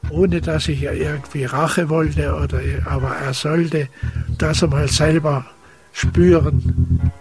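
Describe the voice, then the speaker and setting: A man's voice: fairly high pitch, steady tone, slow pace, music in the background. A man speaking unscripted as an interviewee, giving his personal opinion.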